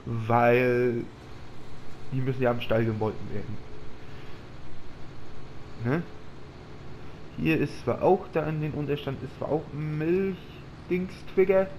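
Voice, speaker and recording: A man with a slight cold chats his way through a farming game, thinking aloud in a loose, rambling manner. His voice sits at a middling pitch. A steady hum of noise runs under the recording. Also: slow, pauses often, poor audio. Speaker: gaming channel host. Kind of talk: casual let's-play commentary. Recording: audible background noise.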